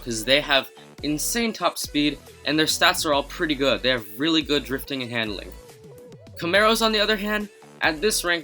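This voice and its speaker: Young male game commentator, first language Chinese, bright and fairly high, soft music under him. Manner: chatty, self-mocking, upbeat. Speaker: gaming YouTuber. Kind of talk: casual game commentary voice-over.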